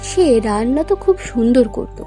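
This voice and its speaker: A woman's voice: fairly high pitch, expressive, normal speed, mellow, soft background music. A woman narrating a scripted cartoon story as its storyteller.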